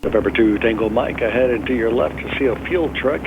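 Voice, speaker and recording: Man, air traffic controller, rapid clipped instructions, muffled aviation radio, noisy transmission. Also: medium pitch.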